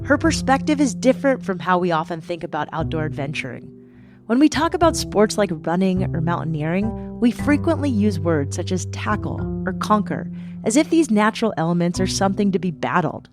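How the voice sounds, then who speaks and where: A woman's voice: on the low side, big pitch swings, a little fast. A woman podcast host narrating a scripted intro and interviewing a guest with upbeat enthusiasm. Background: music bed under narration.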